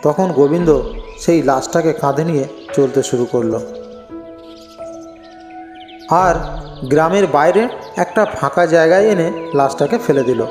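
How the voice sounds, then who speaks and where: A man's voice: on the high side, normal speed, a little mellow. A man narrating a scripted cartoon folk story. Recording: echoey, long reverb. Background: faint music.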